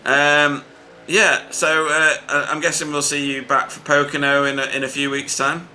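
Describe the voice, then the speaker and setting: A man working as a sim racing commentator, chatting casually and haltingly as he interviews drivers over online voice chat. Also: on the high side, little pitch movement, bright, forceful tone, a little hiss or hum. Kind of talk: casual post-race interview.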